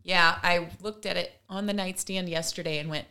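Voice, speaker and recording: A woman's voice: on the low side, fairly even intonation, normal speed, bright, forceful tone. A woman co-hosting a casual talk show, commenting conversationally and acting out imagined quotes as she explains. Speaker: podcast co-host.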